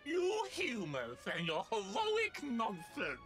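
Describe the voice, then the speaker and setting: A woman's voice: fairly high pitch, huge pitch swings, takes it slowly, soft background music, heavily acted. A woman voicing a greedy, easily enraged female monster bandit in a video game, taunting and melodramatic. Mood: mocking, scheming.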